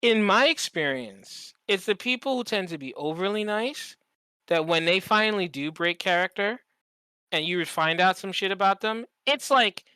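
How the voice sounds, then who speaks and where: A podcast co-host chatting casually and comedically, acting out examples and swearing freely in an animated storytelling manner. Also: mid-range voice, normal speed, clear, resonant tone, dry recording, low-quality recording.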